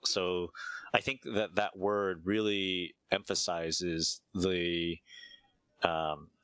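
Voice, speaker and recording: Low-voiced American man, reflective. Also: little pitch movement, a bit bright, clear, resonant tone, dry recording. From United States. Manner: measured, thinks aloud with frequent "uh" and "right?". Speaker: interview guest, martial arts teacher. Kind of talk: reflective conversational explanation.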